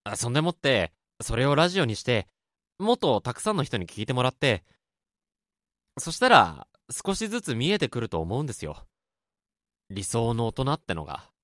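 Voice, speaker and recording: Male actor as gentle, encouraging younger buddy for radio drama; lively intonation, thoughtful, dry recording. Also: mid-range voice, normal speed, no room sound. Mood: earnest, reassuring. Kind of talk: amateur radio drama dialogue.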